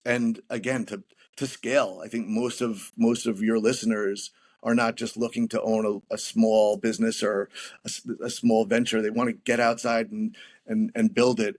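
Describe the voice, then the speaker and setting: Man explaining leadership ideas with many restarts. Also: mid-range voice. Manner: repeats words while explaining. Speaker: podcast guest, business coach. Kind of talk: interview answers on leadership.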